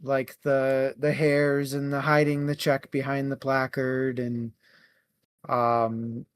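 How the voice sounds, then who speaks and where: A man co-hosting a book club podcast, giving his opinion casually and haltingly, as if thinking aloud. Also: mid-range voice, level tone, clean tone. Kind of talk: casual book review talk.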